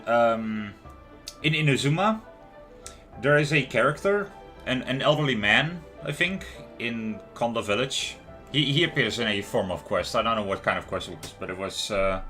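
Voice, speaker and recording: Bright-voiced, low-pitched man streaming a game. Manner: rambling, stumbling over words. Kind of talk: casual live gameplay commentary.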